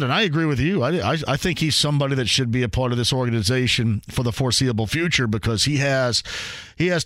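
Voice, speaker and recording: A man's voice: mid-range voice, fairly bright tone, no room sound. A male sports radio host making his case earnestly and with emphasis.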